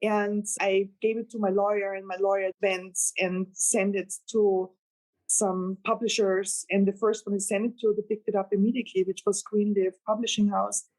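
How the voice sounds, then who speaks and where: A woman's voice: medium pitch, level tone, normal speed, a little mellow, rough recording. Woman, Austrian accent, German first language, earnest, rambling, casual interview chat. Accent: German-speaking, Austrian. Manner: earnest, rambling, laughs with delight. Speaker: novelist guest.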